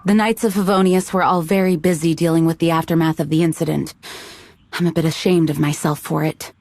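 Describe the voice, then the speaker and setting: A woman's voice: deep voice, steady tone, brisk, darkish tone, dry recording. A woman voicing a dutiful young female knight leader in an anime-style game, formal, composed and sincere. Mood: self-reproachful.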